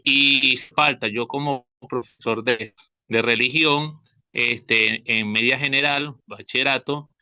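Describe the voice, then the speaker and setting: A Venezuelan man speaks slowly and haltingly, searching for words and repeating himself. His voice is bright and forceful. It comes over a dull, lo-fi call. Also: mid-range voice, dry recording. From Táchira, Venezuela. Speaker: school religion teacher. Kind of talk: spontaneous audience comment.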